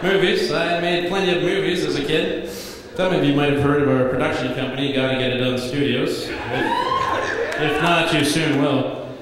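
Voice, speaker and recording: A man in his thirties giving a best man's toast at a wedding reception, telling comic stories in a dry, deadpan way at a podium microphone. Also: fairly high pitch, normal speed, hardly any pauses, heavy background noise. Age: 30s. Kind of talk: wedding toast read from notes. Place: large banquet hall at a wedding reception. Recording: echoey banquet hall with reverb. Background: guests laughing.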